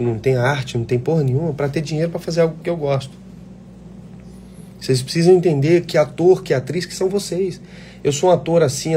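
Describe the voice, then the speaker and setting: A man who works as an actor speaks to aspiring performers in a loose, live-chat way, blunt and fired up, cursing freely. His voice is mellow and middle-pitched. A faint hum sits under the recording. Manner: blunt, swears freely, passionate. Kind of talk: casual live chat answering viewer questions.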